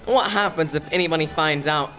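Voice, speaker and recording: An actor playing a stressed, exasperated, melodramatic mob boss in a comedic audio drama. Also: male voice, on the high side, fairly quick, pushed and bright, soft background music. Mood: irritated, defensive. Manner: exasperated, melodramatic mobster talk. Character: stressed, blustering male mob boss secretly running a pizzeria.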